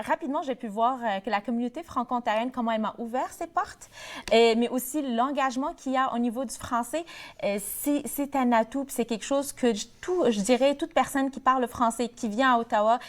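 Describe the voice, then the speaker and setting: A young woman from Morocco, a board treasurer, answering questions in a TV interview, with many fillers and restarts. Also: fairly high pitch. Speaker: guest, board treasurer of a community association. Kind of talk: TV interview, casual conversation.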